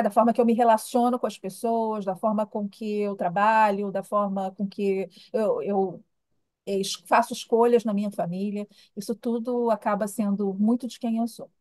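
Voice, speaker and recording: A woman's voice: mid-range voice, darkish tone, no echo. A middle-aged woman with a Rio de Janeiro accent, answering interview questions in a reflective, conversational way. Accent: Rio de Janeiro Brazilian Portuguese. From Rio de Janeiro, Brazil. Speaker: interview guest, human development professional.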